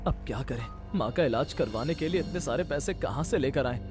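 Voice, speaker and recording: A man's voice: mid-range voice, animated, a little fast, over music, close to an everyday voice. A man voicing a humble, hardworking street vendor and devoted son in a cartoon story, earnest and polite. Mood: troubled resolve.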